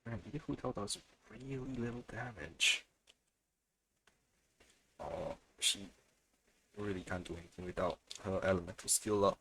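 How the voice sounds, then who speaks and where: A man's voice: low-pitched, unhurried, pauses often, background noise. A man streaming a video game, giving casual commentary and muttering to himself as he plays. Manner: muttering to himself while playing. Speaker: livestream gamer. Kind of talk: casual let's-play commentary.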